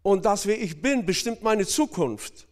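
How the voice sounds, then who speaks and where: A man's voice: high voice, animated, unhurried. A man from Northern Kazakhstan preaching a sermon, speaking hesitantly with many fillers and addressing the congregation directly.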